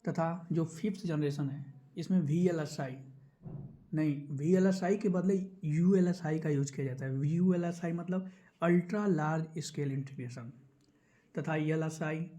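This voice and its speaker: A man's voice: a little high, mellow. A man teaching an exam prep lesson, explaining step by step and repeating key points. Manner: repeats key points instructively, checks in with listeners. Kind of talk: explanatory lesson going through quiz questions.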